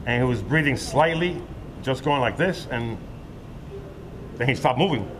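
A man's voice: mid-range voice, expressive, normal speed. An older man, a witness telling what he saw in a hesitant street interview outdoors. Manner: plain, hesitant retelling with fillers. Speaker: eyewitness. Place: outdoor covered sidewalk by a street. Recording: street noise behind.

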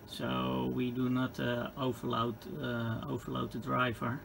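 A man with a Dutch accent and a gravelly voice gives an unscripted, halting hands-on equipment demonstration. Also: medium pitch, completely flat delivery. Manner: halting, hesitant phrasing with repeated words. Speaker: hobbyist demonstrator. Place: indoor electronics workbench. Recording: clearly noisy room recording.

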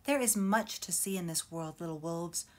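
A woman voicing a gentle, wise male wolf who teaches cubs, speaking slowly and often in a hushed whisper. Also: lowish voice, natural voice. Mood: calm, hushed.

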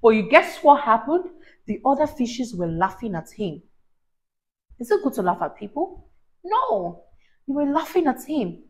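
High-pitched, unhurried woman reading to children. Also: low-quality recording. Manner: expressive, encouraging, teacherly, prompting the listener with questions. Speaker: children's story reader and teacher. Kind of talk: animated read-aloud for a young child with explanations and questions. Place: small indoor room on a sofa. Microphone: lapel mic.

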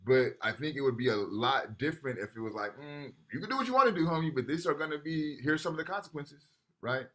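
A man's voice: on the high side, animated, fast talker, sharp tone. A man teaching a university class over a video call, talking casually and often checking in with "right?" while reading texts aloud. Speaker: lecturer.

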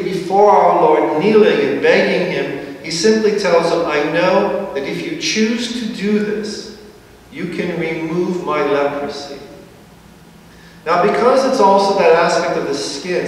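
A middle-aged man preaching a sermon from a church pulpit, explaining at an easy pace with slow, emphatic phrases and a dry touch of humor. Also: high voice. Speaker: priest. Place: large church sanctuary, at a pulpit. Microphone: far camera. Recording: echoey church from far off.

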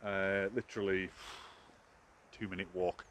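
A man's voice: deep voice, little pitch movement, a little slow, a bit bright. A middle-aged man giving chatty, informal commentary for a walking vlog. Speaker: vlogger, hiking guide. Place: outdoors on trails and in a cave. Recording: outdoor ambience and noise.

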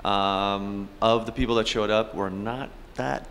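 A man's voice: fairly low pitch, steady tone, unhurried, a bit bright. A man in his thirties from Canada, a film director answering interview questions with dry, understated humor. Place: festival press wall, indoors. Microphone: host's handheld mic. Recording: reverberant hall.

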